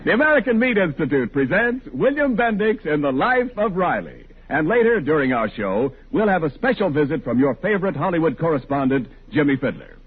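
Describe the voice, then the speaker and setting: A man's voice: fairly high pitch, sing-song, fairly quick, hardly any pauses, husky, narrow, tinny audio. A male announcer presenting a show in scripted 1940s radio style. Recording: thin, band-limited 1940s radio recording.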